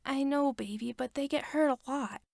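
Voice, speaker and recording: A woman voicing a gentle, worried young mother who soothes her hurt son softly, sometimes in a whisper. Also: sits high, moderate pace, gravelly, no echo, clean studio sound, pitched above the performer's own voice. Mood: tender.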